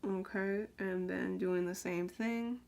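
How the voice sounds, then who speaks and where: A woman's voice: mid-range voice, steady tone, takes it slowly, creaky. Chatty young woman doing makeup. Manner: chatty, rambling, lots of "like" and "um"; talks while applying makeup, drifts quieter then brighter. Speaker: beauty vlogger. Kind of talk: casual get-ready-with-me makeup chat.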